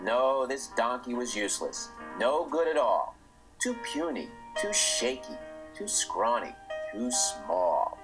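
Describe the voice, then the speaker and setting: A middle-aged man, the author, reading his rhyming children's book aloud in a lively, expressive way. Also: mid-range voice, takes it slowly, over music, poor audio.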